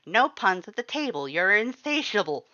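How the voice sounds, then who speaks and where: Woman voicing a loud, fussy skeleton cook. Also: mid-range voice, sing-song, normal speed, dry recording, highs cut off, voice pushed up. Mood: indignant, prim. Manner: emphatic, prim, scolding. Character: cheerful, fussy, loud male skeleton who cooks.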